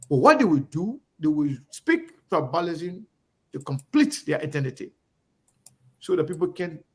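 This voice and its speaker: A middle-aged Liberian man with a Liberian English accent, delivering an emphatic, repetitive talk-show monologue with a preacher-like cadence. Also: on the high side. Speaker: online talk show host. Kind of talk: impassioned monologue.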